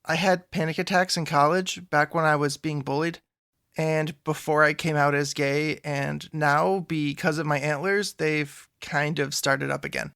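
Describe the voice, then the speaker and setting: An anxious young man in an audio drama, with noticeable vocal fry. He rambles sheepishly and slips in deadpan jokes, recorded close and dry. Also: on the high side, a little slow, no echo. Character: anxious, awkward, joking young man seeking help. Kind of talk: audio drama dialogue, conversational.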